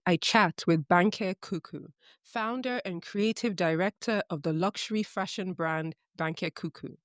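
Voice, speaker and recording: Low-voiced woman podcast host. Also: dry recording. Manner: measured, friendly questioning with light laughs. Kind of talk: scripted intro then casual interview chat. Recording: dry close sound with faint music under intro.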